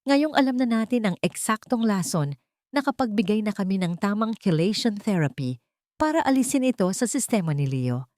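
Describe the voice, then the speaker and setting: A woman's voice: mid-range voice, sing-song, moderate pace, a little mellow, no room sound, pitched above the performer's own voice, natural voice. Woman voicing a reassuring Filipino doctor. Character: reassuring male hospital doctor.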